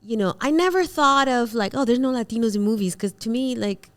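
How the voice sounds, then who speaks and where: A woman's voice: on the high side, a little fast. A woman from Venezuela, a native Spanish speaker, hosting a casual panel and telling personal stories emphatically. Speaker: panel host, actress.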